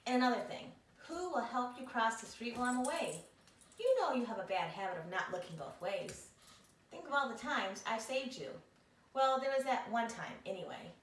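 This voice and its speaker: A woman's voice: on the high side, animated, moderate pace, slight background noise, natural voice. Woman as a melodramatic, indignant dog, echoey and distant. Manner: theatrical, wheedling complaint. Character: melodramatic, self-pitying, indignant male pet dog writing letters. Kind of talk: expressive picture-book read-aloud. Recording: echoey room, distant microphone.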